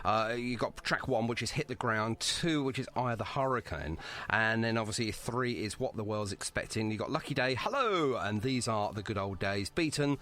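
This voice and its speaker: A man's voice: lowish voice, expressive, moderate pace, a bit bright. A man hosting a music podcast, chatting casually and asking rambling questions with plenty of affirmation. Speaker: podcast host interviewing a band.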